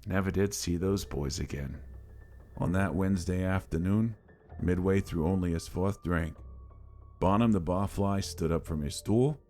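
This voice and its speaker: A man narrating a creepy first-person tale like a salty, colloquial barroom yarn, dramatic and gravelly, with swearing. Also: low-pitched, measured pace, darkish tone. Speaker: horror story narrator. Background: faint ambient background music.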